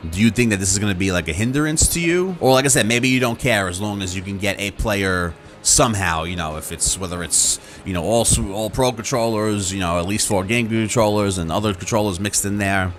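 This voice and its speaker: A man's voice: on the low side, a little fast, hardly any pauses, fairly bright tone, soft background music. A man talking casually and conversationally to his viewers as a video host, rambling and acting out imagined reactions. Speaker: YouTube host.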